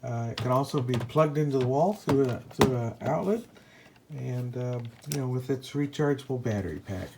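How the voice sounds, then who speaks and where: A man presenting a casual, unscripted product review as a hobbyist, speaking in a hesitant, halting way. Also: mid-range voice, creaky, background noise.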